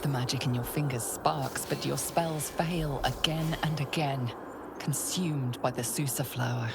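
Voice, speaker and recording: An actress narrating a fantasy game as a grave, ominous storyteller, measured and hushed with a breathy edge. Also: female voice, a bass voice, steady tone, very noisy, heavily acted. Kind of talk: scripted second-person game narration.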